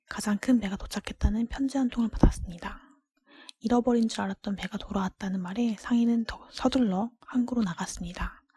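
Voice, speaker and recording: Female ASMR storybook reader, fully whispered and airy, mellow tone, gentle measured delivery, intimate dry close-mic sound. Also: fairly high pitch, no room sound. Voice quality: breathy, unvoiced whisper. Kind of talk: whispered ASMR fairy-tale reading. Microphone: close microphone, dry.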